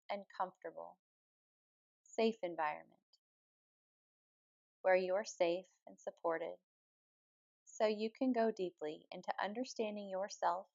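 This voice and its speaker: A woman leading a guided yoga nidra relaxation as the teacher, speaking in a calm, measured, soothing manner. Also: mid-range voice, creaky, muffled recording. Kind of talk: guided meditation, slow spoken relaxation instruction.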